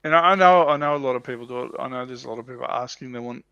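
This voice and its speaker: Creaky-voiced man in casual chat. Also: medium pitch, very fast, a bit bright, no room sound, lo-fi sound. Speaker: livestream panel member.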